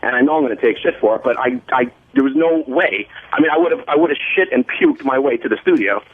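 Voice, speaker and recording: A man's voice: medium pitch, sing-song, husky. Defensive guy on a muffled phone line. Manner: defensive, halting, repetitive, profane. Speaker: radio show staffer calling in sick. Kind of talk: casual radio banter, explaining himself. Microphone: phone call.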